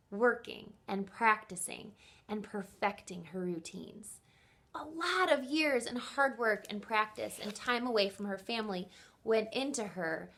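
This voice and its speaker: A woman teacher reading aloud to children in an expressive way, speaking directly to her young listeners and posing questions to them. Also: mid-range voice.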